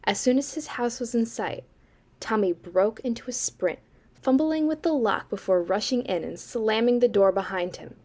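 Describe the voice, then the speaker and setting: A young woman acting as a sardonic, eerie campfire storyteller in a student audio drama, with dry, deliberate delivery. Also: a little high, a little mellow, natural voice. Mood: ominous, measured. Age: young adult.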